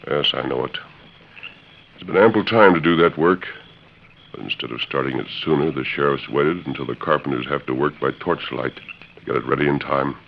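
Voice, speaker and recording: An actor playing a steady, commanding masked hero of a radio western, with formal, authoritative diction. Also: male voice, rumbling low, normal speed, gravelly. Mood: pondering. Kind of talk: old-time radio western acting. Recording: muffled, noisy old radio recording.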